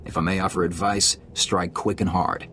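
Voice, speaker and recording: A man's voice: sits low, flat delivery, moderate pace, natural voice. An actor playing a practical male military aide in a video game, with a curt, matter-of-fact delivery. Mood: businesslike, cautioning. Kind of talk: scripted game cutscene acting.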